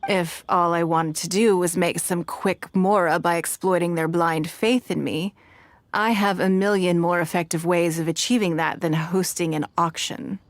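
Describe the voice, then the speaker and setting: A woman's voice: on the low side, fairly even intonation, moderate pace, no echo, lightly acted. An actress playing a poised, wealthy, shrewd woman in a video game, with a composed, knowing and formal manner.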